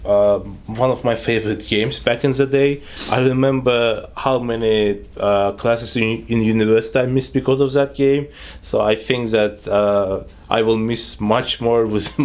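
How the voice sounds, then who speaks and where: Young man chatting casually. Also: mid-range voice. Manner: brief dry interjections. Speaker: channel co-founder. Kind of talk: casual chat with interjections.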